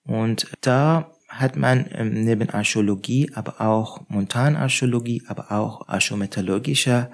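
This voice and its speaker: A man from Iran, answering interview questions about his research in a hesitant way with frequent pauses and fillers. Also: mid-range voice. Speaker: guest researcher.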